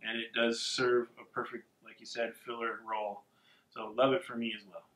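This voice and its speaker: A man with a mid-pitched voice walks through a card game's rules in a steady, plain way, dropping frequent ums. The sound is roomy and echoey. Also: moderate pace. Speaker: podcast co-host. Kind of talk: casual game explanation.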